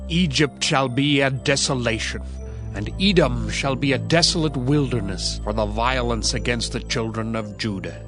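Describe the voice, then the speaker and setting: A man reads scripture as a dramatized narration. His medium-pitched voice is solemn and declamatory, set against clearly audible background music. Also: moderate pace. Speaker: audio Bible narrator.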